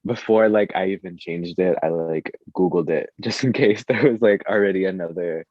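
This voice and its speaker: A man's voice: sits low, normal speed. A man speaking as a music artist guest in a casual interview, informal and hesitant, leaning on filler words. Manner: hesitant, filler-heavy, informal speech with frequent "like". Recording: dull, echoey lo-fi room sound.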